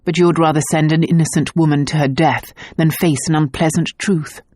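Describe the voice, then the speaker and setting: A man reading an audiobook, voicing a gruff, protective nobleman husband in a low, tender voice. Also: fairly even intonation, moderate pace, a little mellow, no echo, studio quality, lightly acted. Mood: cold. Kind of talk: audiobook dialogue.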